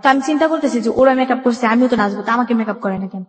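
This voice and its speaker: Female, Bangladeshi accent, interview guest recounting life story colloquially, quick delivery, reverberant sound. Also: fairly high pitch. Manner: colloquial, acts out remembered dialogue. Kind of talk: personal storytelling in a radio interview. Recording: echoey room with long reverb.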